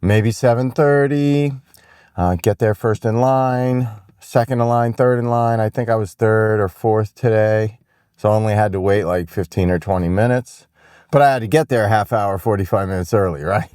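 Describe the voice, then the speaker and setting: Exasperated American male host, opinionated casual rant, soft-edged mellow tone, dry close sound. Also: on the low side, normal speed, clear, resonant tone, no echo. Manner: exasperated, emphatic, repeats phrases for effect.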